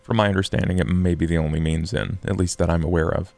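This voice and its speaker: A man voicing a very tall, calm vampire, speaking formally and deliberately with a gravelly voice. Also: sits low. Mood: calm, measured.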